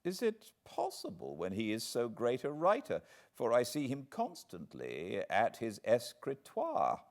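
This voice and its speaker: A man playing a curious, surprised gentleman in a classic book, asking questions in an incredulous manner. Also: mid-range voice, wildly swooping pitch, unhurried, creaky, voice pushed up, exaggerated voice.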